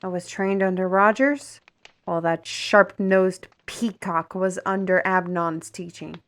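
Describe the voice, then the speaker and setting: Woman playing a slow-spoken, proud fantasy steward. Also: medium pitch, lightly acted. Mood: rueful. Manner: formal, deliberate, indignant. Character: proud, fiery, defiant woman artisan steward. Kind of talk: fantasy audio roleplay acting.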